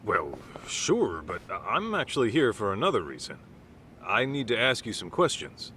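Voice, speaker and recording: A man playing an easygoing, gruff middle-aged private detective in a video game, talking in a casual way. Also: lowish voice, wildly swooping pitch, moderate pace, sharp tone, slight background noise, heavily acted. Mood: polite, resigned. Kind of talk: video game cutscene acting.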